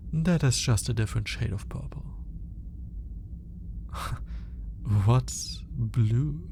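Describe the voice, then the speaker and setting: A man playing a clinical, curious alien scientist in a soft, intimate ASMR roleplay, measured but grudgingly fascinated. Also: medium pitch, gravelly, background noise. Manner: clinical, measured, hesitant when flustered.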